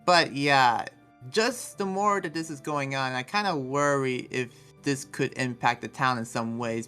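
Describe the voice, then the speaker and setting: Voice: medium pitch, bright, forceful tone. A roleplayer playing an earnest, conflicted demon slayer in an improvised anime game roleplay, speaking in a hesitant, rambling way.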